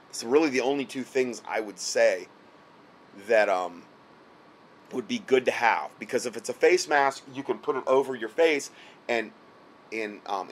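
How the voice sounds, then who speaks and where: A hoarse man talks the listener through instructions in a loose, rambling way, stopping often to check that he is being followed. His voice sits at a middle pitch and is a little worn from a cold. A faint hiss runs under the recording. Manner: repeats himself, frequent "okay?" check-ins. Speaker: health product seller giving advice. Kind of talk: casual instructional talk. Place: indoors, late at night.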